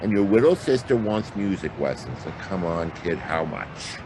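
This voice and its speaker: Man playing a very deep, gravelly hard-boiled private eye. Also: sing-song, moderate pace. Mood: wary, wry. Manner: sardonic, clipped slangy wisecracks. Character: wry hard-boiled male private detective. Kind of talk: hard-boiled detective radio drama, with narration. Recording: noisy track with outdoor city ambience.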